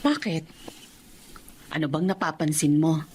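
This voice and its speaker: A woman playing a caring, concerned middle-aged mother in a radio drama, solicitous and expressive. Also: deep voice, unhurried, slight background noise.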